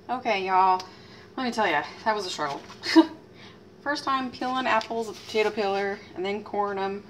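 A woman in her thirties, chatting casually as she demonstrates a recipe in her home kitchen, teasing herself and letting out lively exclamations. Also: on the high side. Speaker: home cooking channel host. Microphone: fixed camera mic at a distance. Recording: distant camera mic with faint room noise.

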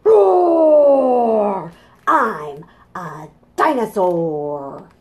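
Cocky little picture-book dinosaur, woman performing: loud roars, slow emphatic boasts, swooping pitch. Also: expressive, vocal fry, heavily acted. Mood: boastful, fierce. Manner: roaring, emphatic, drawn-out.

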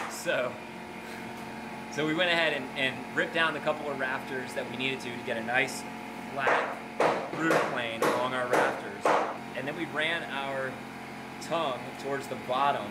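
A middle-aged North American man giving an informal, practical how-to explanation as a builder, in a plain-spoken way. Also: mid-range voice. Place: open timber-frame building site. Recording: clear background noise on an open site.

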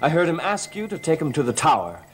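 A man's voice: a little high, big pitch swings, quick pace, a little hiss or hum. An actor playing a determined young man in a gothic soap opera, probing in a firm, terse, grave way. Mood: grimly insistent. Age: young adult.

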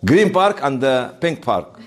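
Animated man lecturing a class. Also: medium pitch, takes it slowly. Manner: emphatic storytelling with rhetorical questions. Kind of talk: informal interactive classroom lecture.

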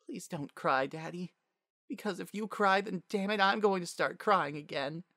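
A man puts on a young female pony from a storybook, anxious and full of feeling. The voice is pitched well up, hesitant and quivery, in a dry recording. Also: sing-song, slow pace, a bit bright, dry recording, full character voice. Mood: tearful. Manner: hesitant, quivering when emotional.

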